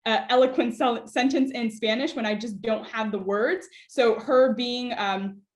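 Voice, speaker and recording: Young female student guest, higher pitch, easy and thoughtful with fillers, roomy echo. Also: steady tone, measured pace. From United States. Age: young adult. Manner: thoughtful, many "uh" and "um" fillers, light laughs. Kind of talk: conversational interview.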